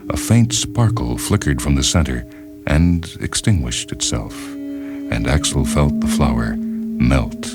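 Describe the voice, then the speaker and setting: A man with a strikingly deep, bass voice narrates a short story. His delivery is grave, measured and dramatic. Orchestral music plays clearly behind him. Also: lively intonation. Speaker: narrator and reader.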